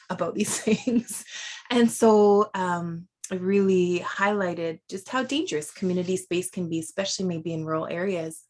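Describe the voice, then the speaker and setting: A woman moderating a virtual panel, speaking in a conversational, reflective way with soft fillers over a video call. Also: fairly low pitch.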